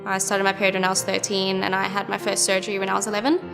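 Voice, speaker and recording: Twenty-year-old woman, flat and direct, soft music behind. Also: medium pitch, rapid-fire, vocal fry. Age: 20s. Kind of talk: personal testimony interview.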